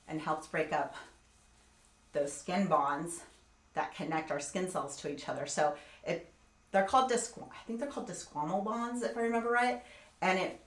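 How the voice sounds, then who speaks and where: A woman's voice: deep voice, fairly bright tone. Chatty woman in her forties, echoey room. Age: 40s. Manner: chatty, explains steps while multitasking, reads chat aloud. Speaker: skincare livestream host. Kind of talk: casual live tutorial chat.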